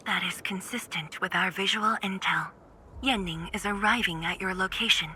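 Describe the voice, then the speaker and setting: Very bright, crisp-mannered woman coordinator played by an actress. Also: medium pitch, moderate pace, slight background noise, close to an everyday voice. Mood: businesslike. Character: efficient, businesslike female mission coordinator. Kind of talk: video game voice acting.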